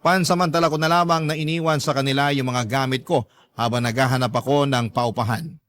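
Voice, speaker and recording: A male actor voices a hurt grown son looking back on his life. The narration is scripted and confessional, in an average-pitched male voice. The sound is dry and close, with an earnest delivery. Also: fairly even intonation, a little fast, fairly bright tone, dry recording, close to an everyday voice. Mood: hurt, reflective. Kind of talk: scripted first-person drama narration.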